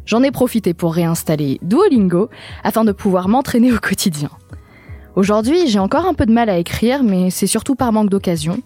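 A young adult woman from Syria, hosting a podcast and reading a prepared introduction in a clear, measured way. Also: medium pitch, a little mellow, dry recording. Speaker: podcast host. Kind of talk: scripted podcast narration.

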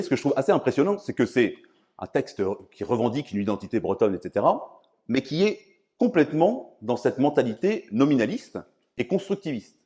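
A man from France lecturing on philosophy in a didactic, emphatic way, often pausing to search for words. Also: mid-range voice, mellow. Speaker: priest and philosophy professor giving a lecture. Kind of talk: public philosophy lecture, semi-improvised.

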